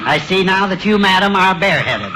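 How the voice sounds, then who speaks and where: Smitten silly restaurant employee, coy, oblivious, animated pitch, vintage radio comedy, heavy noise. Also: male voice, a little fast. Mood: baffled. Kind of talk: 1950s American radio comedy acting. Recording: very noisy old radio recording.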